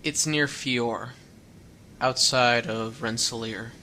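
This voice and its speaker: Teen runaway boy, guarded but earnest, bright voice, faint hiss behind. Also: medium pitch, fairly even intonation, slow pace. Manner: plain-spoken, rambling storyteller with folksy words. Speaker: hitchhiking runaway. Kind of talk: radio drama acting.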